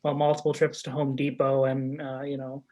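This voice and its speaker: A man's voice: mid-range voice, monotone, moderate pace, warm tone. A man talking casually in a group conversation, easygoing and saying "you know" often. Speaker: guest.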